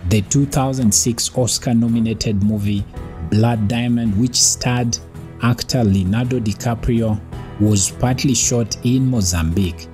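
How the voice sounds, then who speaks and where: A man's voice: mid-range voice, measured pace. Man narrating facts over music. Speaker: channel host. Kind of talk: scripted narration.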